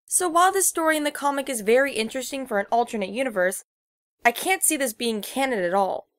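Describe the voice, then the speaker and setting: Woman, scripted commentary, articulate formal phrasing, slightly high pitch, dry echo-free sound. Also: animated, fast talker. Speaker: guest commentator.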